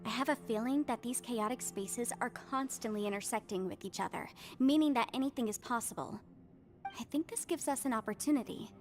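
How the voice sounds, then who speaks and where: A woman performs a confident, quick-witted young heroine from a fantasy game. Her voice sits fairly high and she lays out her reasoning earnestly and clearly. Soft music plays underneath. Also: lightly acted. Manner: articulate, earnest explaining. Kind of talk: scripted video game voice acting.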